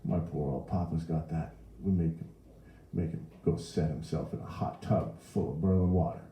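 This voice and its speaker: A man's voice: very deep voice, moderate pace, dark tone, slight background noise. A man voicing a friendly, chatty bakery saleswoman with a folksy, commiserating New Orleans accent. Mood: sympathetic. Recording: roomy echo.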